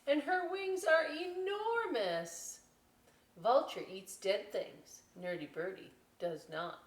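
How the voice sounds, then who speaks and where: A woman reading a picture book aloud as a story time host, expressive, with chatty questioning asides to young listeners. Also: high voice, slow, recorded from a distance. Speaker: library story time reader. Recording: echoey room, away from the mic.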